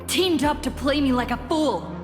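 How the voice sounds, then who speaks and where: A woman's voice: sits high, moderate pace, music in the background, close to an everyday voice. A woman voicing a proud, defiant, coolly resolute character in a dramatic game scene. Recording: echoey hall with long reverb.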